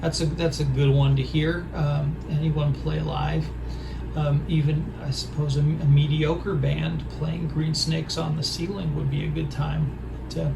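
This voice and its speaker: Hoarse man, raspy and gravelly, halting delivery, noisy recording. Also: fairly high pitch, level tone. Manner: halting, hesitant, full of pauses. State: hoarse from a throat injury. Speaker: record collector vlogger. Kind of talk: casual record haul show-and-tell.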